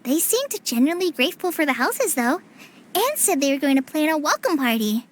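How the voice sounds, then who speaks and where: A woman voicing a polite, excitable young girl in a video game, with a sweet, girlish sound. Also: high-pitched, brisk, noisy background. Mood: eager. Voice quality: youthful, girlish. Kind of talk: video game cutscene acting.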